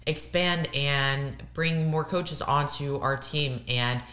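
A young woman with a raspy, hoarse voice that she is losing, talking in an emphatic, casual way and doubling up words for stress. Her tone is bright and forceful, and the sound carries the echo of a roomy space. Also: deep voice, slow. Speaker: podcast co-host. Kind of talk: casual podcast chat.

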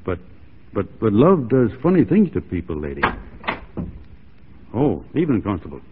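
A man's voice: fairly low pitch, huge pitch swings, normal speed, noisy background. An actor playing a wry, plain-spoken frontiersman in a 1940s radio drama, folksy and a little hesitant. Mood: tender, hesitant. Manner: folksy, hesitant, drily sardonic. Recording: tinny, narrow-band 1940s radio recording.

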